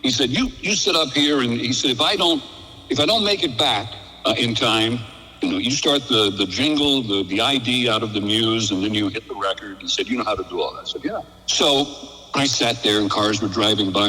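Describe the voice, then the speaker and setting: Older American man, bright voice, hollow reverb. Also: lowish voice, fairly quick. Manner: chatty, acts out quoted dialogue in stories. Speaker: radio veteran being interviewed. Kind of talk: casual anecdotal storytelling. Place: remote call into a live radio broadcast.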